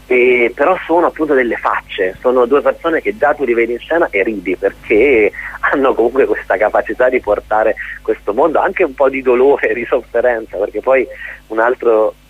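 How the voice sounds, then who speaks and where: Male theatre director as interview guest: low-ish, husky, searching delivery with throat-clearing, dry telephone-quality sound. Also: dry recording. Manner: hesitant, searching for words, frequent throat-clearing. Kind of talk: radio interview, explaining his work. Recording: muffled, tinny phone line on radio.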